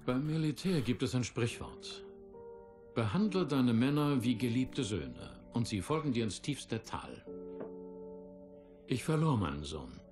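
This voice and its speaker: An actor in a German game dub playing an older, commanding military corporate leader, speaking in a measured, oratorical way. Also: male voice, mid-range voice, big pitch swings. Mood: solemn, fatherly. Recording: clear music under the voice.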